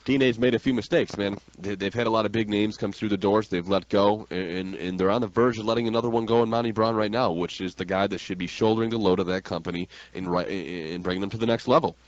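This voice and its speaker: A man with a low voice hosts a talk radio show. He speaks quickly and informally, like a fan chatting with buddies. The audio is slightly dull and lo-fi. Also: fairly even intonation. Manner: informal, frequent "man" and "dude". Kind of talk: opinionated sports talk radio chat.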